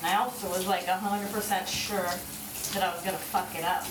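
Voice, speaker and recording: A woman's voice: mid-range voice, steady tone, a little fast. A woman in her thirties casually rambling to her listeners in a wry, self-deprecating, hesitant way, swearing freely. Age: 30s. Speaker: vlogger. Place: in a bathroom shower. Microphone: phone at some distance. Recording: roomy, distant mic, running shower water noise. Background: running shower water.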